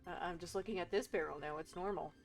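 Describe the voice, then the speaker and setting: A woman's voice: fairly low pitch, quick pace. A woman giving casual live game commentary as a streamer, goofy and fond of repeating her phrases. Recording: game music behind.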